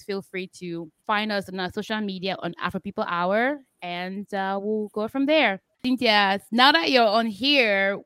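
A woman hosting a podcast, chatting casually and telling stories in an animated way. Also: mid-range voice, smooth, dry recording. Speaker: podcast host.

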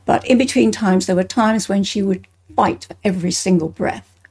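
A woman's voice: mid-range voice, moderate pace, gravelly, darkish tone, slightly rough. An older woman with an English accent, recounting personal memories in conversation as an interview guest. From Bristol, England.

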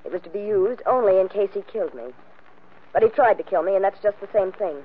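Husky, wary wife in radio drama, acted by a woman. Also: mid-range voice, quick pace, natural voice. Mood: wary, bitter. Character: wary, bitter scorned wife. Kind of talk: 1940s radio drama acting. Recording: muffled old radio recording.

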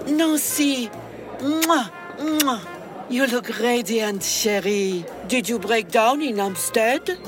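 An actress playing an elegant, gossipy, teasing society lady in an audio drama, arch and unhurried. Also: a little high, animated, music in the background. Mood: gushing.

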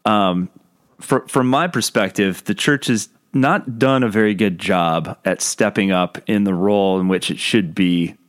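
A man's voice: fairly low pitch, measured pace, no echo, clean studio sound. A man hosting a podcast interview, encouraging his guest with frequent short affirmations. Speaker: podcast host.

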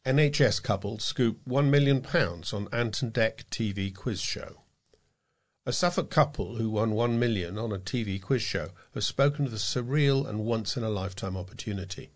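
Man with lowish voice reading news. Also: moderate pace. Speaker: volunteer newsreader. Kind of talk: scripted local news reading for a talking newspaper.

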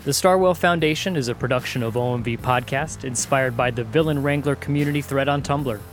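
A man's voice: medium pitch, a little fast. Male podcast announcer over faint music. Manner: steady, announcing. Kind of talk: scripted credits read.

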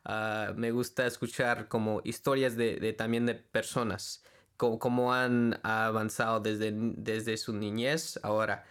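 Smooth-voiced young man, halting speech. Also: fairly low pitch, flat delivery, fairly bright tone. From California. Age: 20s. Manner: halting, searching for words with many fillers. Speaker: interview guest, student and church worship leader. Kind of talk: casual interview conversation.

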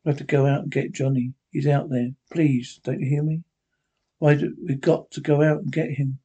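A man's voice: fairly high pitch, level tone, moderate pace, mellow, husky, lo-fi sound. A man voicing a terrified young woman who pleads and repeats herself frantically. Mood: pleading. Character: terrified young woman, grieving lost brother.

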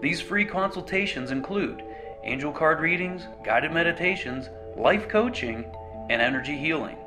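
A man's voice: a little high, expressive, moderate pace. A man reading a scripted radio advert for his own services. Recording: voice over prominent music bed. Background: music.

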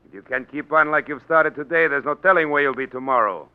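An actor playing a tough, bullying big-city political boss in 1940s radio style, gruff and sardonic, talking in gangster slang. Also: male voice, fairly high pitch, fast talker, natural voice. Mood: sardonic, commanding. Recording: dull 1940s radio recording.

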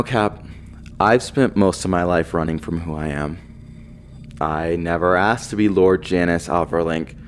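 A man's voice: deep voice, a little hiss or hum. A man playing a wry, loyal runaway nobleman turned spaceship crewman, talking casually and teasingly in a science fiction audio drama.

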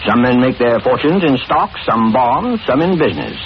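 Swooping, sinister old radio villain. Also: male voice, mid-range voice, very animated, moderate pace, lo-fi sound. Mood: smug, gloating. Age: older. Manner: mock-courteous, unctuous, chuckling, repeats himself. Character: sinister, smugly genial older male killer luring young women. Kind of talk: 1940s radio horror acting. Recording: noisy, band-limited old radio broadcast recording.

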